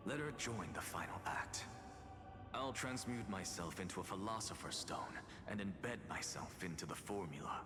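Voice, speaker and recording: An actor playing a calm, wry, scheming male alchemist genius in a game. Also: low voice, normal speed. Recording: game audio with reverb and music.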